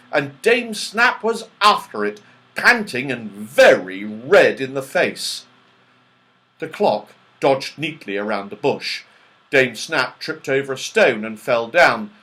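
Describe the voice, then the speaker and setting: A man reading a children's story aloud in an animated, carefully enunciated way, as an audiobook narrator. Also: medium pitch. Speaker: audiobook reader.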